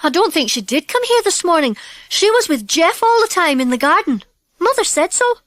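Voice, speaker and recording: Excitable high-pitched British schoolchild. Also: female voice, normal speed, no room sound, studio quality, exaggerated voice. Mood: miserable. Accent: British English. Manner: exclamatory, old-fashioned schoolchild talk. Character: earnest, excitable British schoolchildren in a storybook gang.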